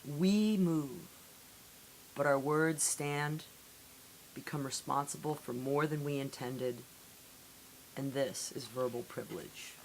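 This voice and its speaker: A woman reading poetry aloud in a solemn, deliberate way with measured phrasing and dramatic pauses, on an amateur recording. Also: a bass voice, poor audio. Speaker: poetry reader. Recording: amateur recording with audible hiss.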